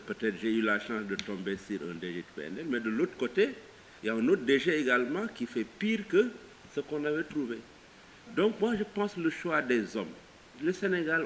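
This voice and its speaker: A man's voice: mid-range voice, low-quality recording. A man with a Senegalese French accent speaking in an emphatic, argumentative way, as in impassioned remarks at a workshop. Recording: faint hiss behind the voice.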